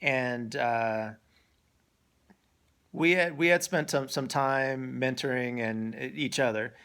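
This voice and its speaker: A man's voice: mid-range voice, takes it slowly, a bit bright, clear, resonant tone. A man interviewing a friend in a casual chat, often restarting and repeating words as he goes.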